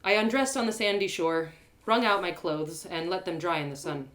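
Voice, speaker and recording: A woman narrating her own audio drama with an expressive, animated delivery. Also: on the low side, normal speed. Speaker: storyteller.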